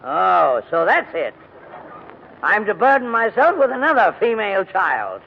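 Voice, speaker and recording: An actor playing a crusty but kindly older gentleman in a formal, hesitant manner, in 1940s radio drama style. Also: high-pitched, huge pitch swings, a little slow, gravelly, husky, full character voice. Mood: grumbling, fussy. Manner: fussy, grumbling, formal, hesitant. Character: crusty, reluctant but kindly old English gentleman guardian. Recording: muffled vintage 1940s radio recording, music.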